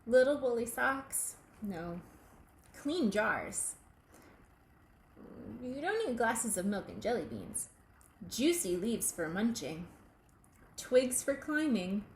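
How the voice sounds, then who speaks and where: A woman's voice: a little high, very animated, takes it slowly, long pauses. A woman voicing a knowledgeable, helpful male guinea pig café owner from a storybook, speaking in short, instructive pronouncements. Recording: echoey room with faint background noise.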